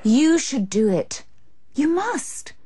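A woman's voice: high-pitched, big pitch swings, slow pace, dark tone, no room sound, heavily acted. A woman with an English accent playing a scheming, seductive mistress in a radio drama, intimate, teasing and persuasive. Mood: coaxing, scornful.

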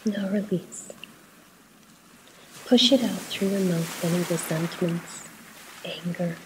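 A woman leading a guided meditation in a soft, at times breathy voice, soothing and unhurried, with pauses between short instructions. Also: fairly low pitch, steady tone, background noise.

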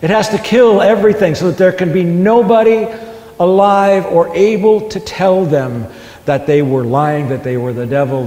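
A man's voice: fairly high pitch, warm tone. A man with a somewhat raised voice reads his own essay to a live audience, his delivery swelling into emphatic peaks. The sound rings through a large hall with a long reverb tail. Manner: impassioned, building to emphatic peaks. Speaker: public speaker.

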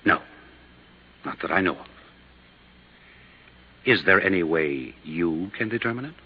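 An actor playing an indignant, defensive doctor, curt and haughty, in 1950s radio drama style. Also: male voice, sits low, expressive, a little slow, husky, heavily acted. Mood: defensive, flustered. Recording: dull lo-fi 1950s radio recording.

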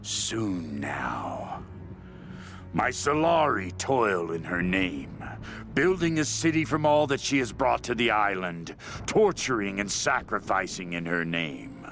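A man's voice: fairly low pitch, big pitch swings, creaky, a bit bright, full character voice. An actor playing a fanatical, mad cult leader obsessed with a queen, delivering a brooding, menacing diary monologue for a video game. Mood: rapturous, obsessed. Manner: slow, brooding, menacing, intense.

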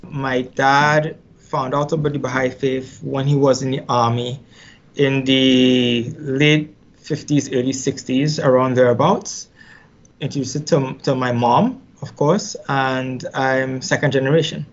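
A man's voice: medium pitch, level tone. Reflective Trinidadian man, slightly dull sound. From Trinidad and Tobago. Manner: unhurried, reflective, repeats words as he thinks. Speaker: musician guest. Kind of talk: casual interview storytelling.

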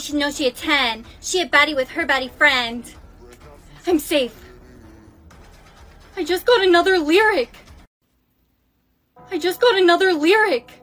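A woman's voice: high-pitched, fairly bright tone. A woman acting a melodramatic young woman in a comedy skit, overwrought and pleading, with music playing behind her. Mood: frantic. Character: melodramatic young woman caught in party-game drama.

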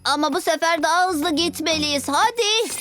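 A woman's voice: very high-pitched, moderate pace. A woman voicing an eager, earnest six-year-old boy superhero in a cartoon dub. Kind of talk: animated series dub acting. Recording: music behind the voice.

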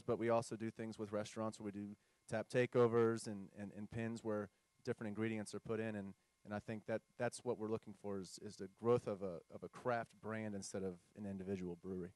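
A man's voice: on the low side, flat delivery, fast talker, darkish tone, no echo. A man on a discussion panel, talking about brewing, with many fillers and repeated words. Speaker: brewery panelist.

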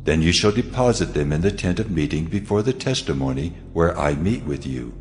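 An actor playing God in a dramatized scripture reading, solemn, commanding and measured. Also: male voice, low voice, animated, a little mellow, slight background noise. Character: God, solemn commanding ageless male deity. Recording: long cavernous reverb, music behind. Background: music.

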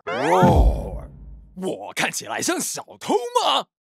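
Grumpy kids' cartoon tiger, husky and growly, wildly swooping, blustering. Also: male voice, high voice, huge pitch swings, slow pace, no echo, clean studio sound, soft background music, heavily acted. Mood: indignant. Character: big grumpy, indignant tiger. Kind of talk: children's cartoon dubbing.

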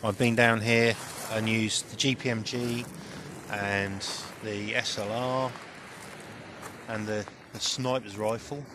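A middle-aged British man gives casual, off-the-cuff commentary in a halting, musing way as he looks around. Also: lowish voice, fairly even intonation, background noise. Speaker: vlogger. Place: outdoors on a beach below cliffs.

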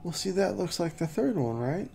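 Gravelly man muttering over gameplay. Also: a little high, very animated, normal speed, mellow, a little hiss or hum. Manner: puzzled, self-mocking, muttering to himself. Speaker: gameplay streamer. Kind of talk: casual gameplay commentary.

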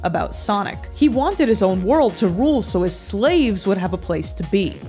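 A young adult woman narrating a scripted, wry video essay as a YouTube host, with deadpan, sarcastic emphasis, on a close microphone. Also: mid-range voice, moderate pace. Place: small bedroom-style home studio. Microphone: close desk microphone. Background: background music.

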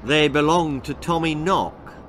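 A man's voice: fairly high pitch, a little slow, fairly bright tone, a little hiss or hum, pitched above the performer's own voice. A man voicing a cryptic, crafty old running coach in a children's storybook, evasive and commanding.

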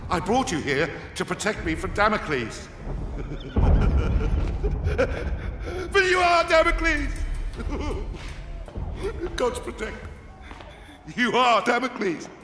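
A shrill, cackling mad old emperor. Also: male voice, sing-song, very slow, long pauses, exaggerated voice. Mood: manic. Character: mad cackling elderly male emperor, paranoid. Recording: echoey hall from afar.

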